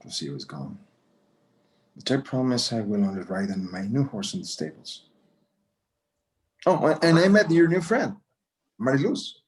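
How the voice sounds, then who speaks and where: An actor playing an elderly, stubborn but tender Mexican-American rancher father in a table read, halting and slipping into Spanish. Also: mid-range voice, big pitch swings, natural voice. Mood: sheepish, regretful. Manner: halting, repeating words, dropping in Spanish. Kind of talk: screenplay table read acting.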